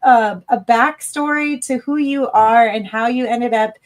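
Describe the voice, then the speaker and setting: A woman's voice: on the high side, measured pace. Upbeat woman hosting a podcast. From United States. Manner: upbeat, welcoming interviewer. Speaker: podcast host. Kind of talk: live interview podcast.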